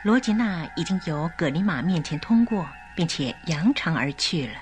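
A woman narrating a scripted radio drama in an expressive, carefully enunciated storytelling style. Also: lowish voice, moderate pace, over music.

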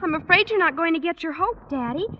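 A woman's voice: very high-pitched, lively intonation, fast. An actress playing a perky, girlish teenage daughter in old radio comedy style. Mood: curious. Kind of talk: 1940s-50s radio comedy acting. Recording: muffled old radio recording, faint noise.

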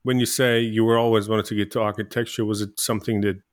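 A man's voice: lowish voice, little pitch movement, quick pace. A man hosting an interview podcast, prompting his guest with friendly questions. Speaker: podcast host.

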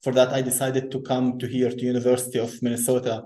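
Soft-spoken engineer, echoey room. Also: male voice, mid-range voice, monotone, quick pace. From Lebanon. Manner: repeats words, many restarts. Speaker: guest engineer. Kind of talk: conversational interview.

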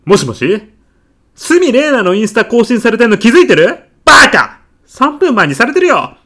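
A man's voice: very high-pitched, very animated, normal speed, bright, forceful tone, full character voice. A man playing a polite, talkative, self-important dad in a comedic audio drama. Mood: scolding, furious. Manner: polite, talkative, matter-of-factly proud. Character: earnest, self-important stay-at-home-working dad, celebrity gossip writer.

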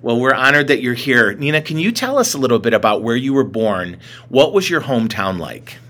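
A man's voice: medium pitch, sing-song, brisk, a bit bright. A man hosting a podcast, reading a friendly welcome and asking his guest questions. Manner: friendly, reads scripted intros. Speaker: podcast host. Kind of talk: podcast interview.

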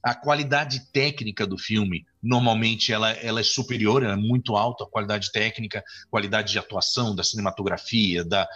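Husky, bright-toned Brazilian filmmaker man. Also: on the low side, fairly even intonation, brisk, hardly any pauses. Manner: rambling with many restarts and fillers. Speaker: film director and author. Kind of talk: interview conversation. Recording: dull lo-fi audio.

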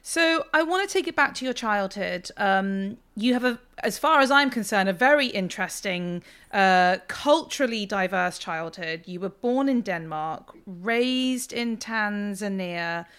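A woman's voice: medium pitch, expressive, unhurried, hardly any pauses, fairly bright tone, clear, resonant tone. Woman hosting a food podcast, reads her intro then chats easy and friendly. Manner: reads a scripted intro, then chats casually and laughs along. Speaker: podcast host. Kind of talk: podcast interview.

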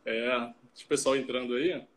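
A man in his late twenties from Salvador, Brazil, co-hosting a casual live stream and talking with a video-call mic, relaxed and full of fillers. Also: mid-range voice, brisk. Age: 20s. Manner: relaxed, chatty, many fillers and tag questions.

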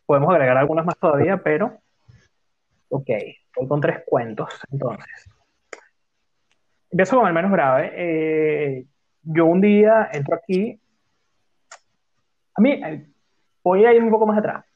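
A man's voice: on the high side, a little slow, long pauses. A man from Venezuela hosting a casual music talk, chatty and joking as he tells stories. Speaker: podcast host. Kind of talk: casual chat, leading a music playlist talk. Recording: dull lo-fi sound.

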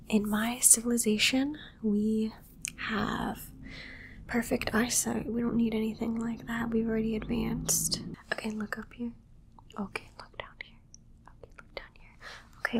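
A woman voicing a condescending female alien doctor in a soft whisper, giving matter-of-fact instructions in an ASMR exam roleplay. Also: fairly high pitch, slight background noise. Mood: superior, matter-of-fact. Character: female alien doctor, clinical, condescending, studying human captive.

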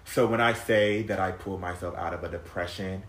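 Low-voiced man in his thirties, echoey room. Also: steady tone, brisk, a bit bright. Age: 30s. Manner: emotional, rambling, many fillers and restarts, swears freely. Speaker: podcast guest sharing a personal story. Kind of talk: casual chat.

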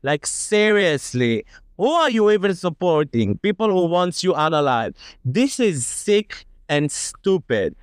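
A man speaking bluntly and with passion on a discussion podcast. His voice is smooth and resonant, pitched a little higher than most men's, and heard close and dry. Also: sing-song, a little slow, no echo. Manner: blunt and impassioned. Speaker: guest.

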